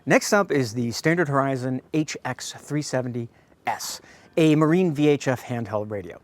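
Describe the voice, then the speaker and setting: American guy doing a gear review, blunt and opinionated, mid pitch. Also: lively intonation, normal speed, no room sound. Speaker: host of a radio review. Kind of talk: product review talk to viewers.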